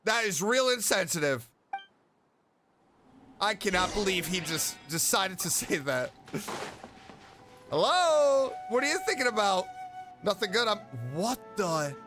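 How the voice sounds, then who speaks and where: A man's voice: sits high, very animated, a little slow, pauses often. A man giving casual, exclamatory gameplay commentary as a streamer, muttering his reactions. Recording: game music behind the voice. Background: game music.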